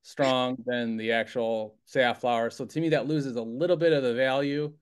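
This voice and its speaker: A middle-aged man who gives bird feeding advice on a video call, measured and hesitant, with many fillers. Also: medium pitch, steady tone, smooth. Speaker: bird seed store expert. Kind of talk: casual panel chat.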